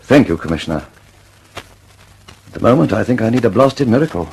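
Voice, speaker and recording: An actor playing a harried, determined British aircraft engineer in a radio drama, urgent and insistent, stammering slightly when flustered. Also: male voice, mid-range voice, animated, a little fast, creaky, a little mellow, a little hiss or hum, poor audio. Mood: exasperated. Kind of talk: mid-century radio drama acting.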